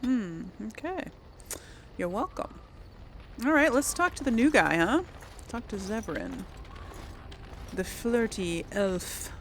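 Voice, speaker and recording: A woman's voice: on the high side, very animated, slow pace, long pauses, a little hiss or hum. A woman hosting a gaming video, giving casual commentary and lightly role-playing dialogue. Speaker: gamer, video host.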